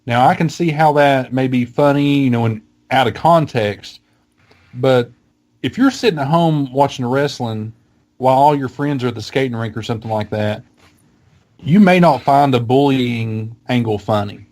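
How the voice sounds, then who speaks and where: A man's voice: mid-range voice, normal speed, darkish tone. A man with a Southern American accent, co-hosting a podcast and chatting casually in a rambling, hesitant way full of fillers. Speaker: podcast co-host. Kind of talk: casual chat.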